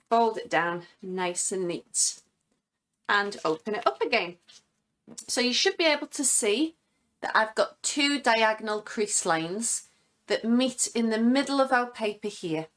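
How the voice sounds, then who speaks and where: Female guest craft presenter, higher voice, instructional step-by-step delivery, recorded at home. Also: lively intonation, takes it slowly, fairly bright tone. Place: living room at home.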